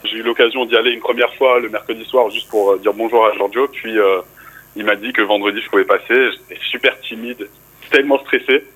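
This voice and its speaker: A man's voice: on the low side, brisk, slightly rough, low-quality recording. A young man from France, a rapper guest in a radio interview, talking casually with fillers. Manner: relaxed, casual, speaks with fillers.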